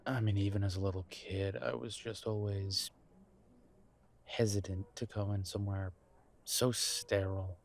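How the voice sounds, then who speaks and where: A man acting in an intimate audio roleplay as a nervous, reassuring grown son, speaking haltingly and affectionately to his partner. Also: lowish voice, level tone, slight background noise, natural voice. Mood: reflective, uneasy. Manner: hesitant, halting, sighing delivery with soft chuckles.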